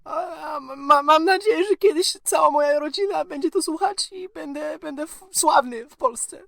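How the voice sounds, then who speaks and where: Small boy, timid, whimpering, pleading, high creaky voice, comic radio play, dry sound. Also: fairly quick, bright, forceful tone, no room sound, exaggerated voice. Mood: tearful.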